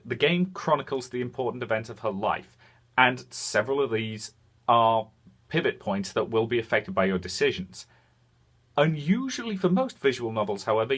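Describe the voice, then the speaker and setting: A man hosting a video game review, speaking frankly in semi-scripted commentary with deliberate pauses for emphasis. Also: mid-range voice.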